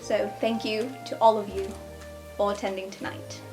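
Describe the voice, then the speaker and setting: A woman voicing an earnest young woman who gives a measured, formal speech of thanks at a feast. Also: mid-range voice, little pitch movement, close to an everyday voice. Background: soft background music.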